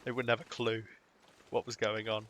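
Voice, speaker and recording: A man's voice: lowish voice, monotone, moderate pace, a bit bright. A young British man chatting casually while streaming a game, rambling and chuckling between remarks. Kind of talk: live gaming commentary.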